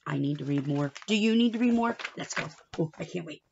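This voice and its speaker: A woman reading a picture book aloud to young children like a teacher, playful and expressive, with asides, questions and some Spanish words mixed in. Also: low-pitched, a little fast, gravelly, lo-fi sound, slight background noise. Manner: playful, expressive, with gasps and exaggerated reactions; drops Spanish words in and translates them.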